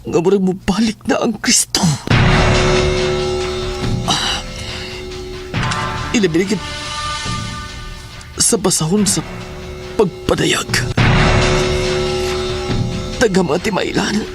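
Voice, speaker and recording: A man playing a troubled young son who narrates his fear in a horror audio drama, earnest and halting. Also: high-pitched, over loud music. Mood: fearful, pondering.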